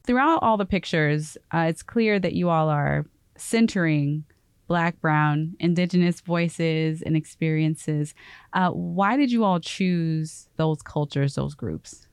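Female interviewer, slightly low voice, conversational, dry close sound. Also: takes it slowly, clear, resonant tone, no echo.

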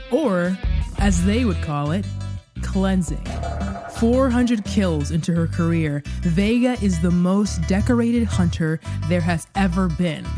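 A woman narrates a scripted podcast promo as a confiding, theatrical storyteller. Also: on the low side. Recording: clear music bed underneath. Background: guitar music.

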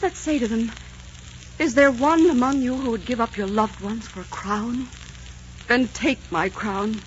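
A woman's voice: a little high, a little slow, natural voice. An actress playing a passionate, desperate young Queen of Scots in a melodramatic, imploring 1940s radio romance style. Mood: pleading. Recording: dull, noisy 1940s radio recording.

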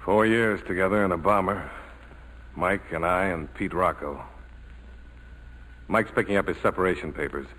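Low-voiced, hard-boiled American ex-pilot. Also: male voice, moderate pace, long pauses, fairly bright tone, a little hiss or hum, low-quality recording. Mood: grim, subdued. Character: tough, loyal American ex-bomber pilot and leader. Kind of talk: 1940s radio drama acting. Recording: vintage 1940s radio broadcast.

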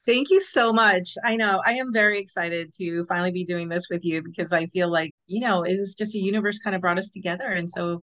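A woman's voice: fairly low pitch, steady tone, a little fast, hardly any pauses, a bit bright, dull audio. A woman in her fifties from Los Angeles, born in El Salvador, telling her own story casually as a podcast guest, often dropping in "you know". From born in El Salvador, grew up in Los Angeles. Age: 50s. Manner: conversational, peppered with "you know".

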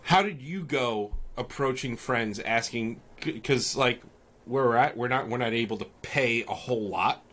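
A man's voice: medium pitch, moderate pace, a bit bright. A man co-hosting a podcast, chatting casually and rambling through long questions with many restarts. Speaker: podcast co-host.

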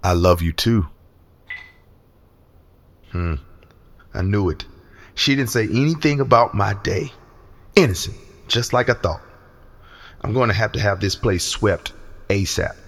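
Man acting a bright-voiced, earnest senator. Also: fairly low pitch, measured pace, pauses often. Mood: uneasy. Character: earnest strongman senator, proud and smitten. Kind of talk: audio drama acting.

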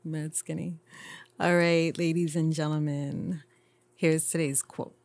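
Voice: mid-range voice, little pitch movement, slow, no room sound. Easygoing podcast co-host, dry sound. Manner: easygoing, laughs along, reads quotes aloud. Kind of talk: casual banter.

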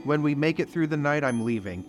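A man acting as an earnest, wounded husband in a 1930s radio mystery. His fairly high voice rises and falls emotionally, with music clearly behind it. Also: expressive, fairly quick, natural voice.

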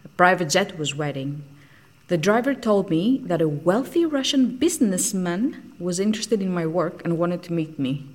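A woman's voice: on the low side, expressive, moderate pace. An actress playing a frank, socially outcast woman scientist in an audio drama, speaking in a blunt, matter-of-fact way. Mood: dry, frank.

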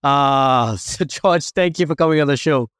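A man's voice: on the high side, moderate pace, a bit bright, clear, resonant tone, no room sound, studio quality. Friendly rambling male podcast host. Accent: Indian. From India. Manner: rambling, restarts often, friendly. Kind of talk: casual interview chat.

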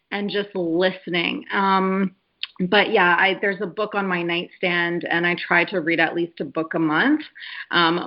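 Thirties woman guest, low-pitched, earnest and reflective, lots of "um"s and restarts, muffled call audio. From Canada. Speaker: guest, network marketer. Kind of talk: casual interview chat. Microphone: video call.

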